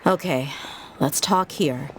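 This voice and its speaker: A woman's voice: sits low, takes it slowly, background noise. A woman voicing a tough, easygoing young female mercenary in a video game, with casual, slangy phrasing. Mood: serious, guarded. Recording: music behind the voice.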